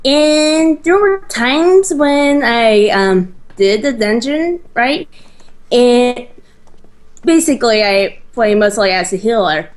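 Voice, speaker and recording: Slow-talking woman, halting gaming co-host. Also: fairly high pitch, animated. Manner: hesitant, halting explanations. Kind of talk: casual let's play chat. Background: faint game music.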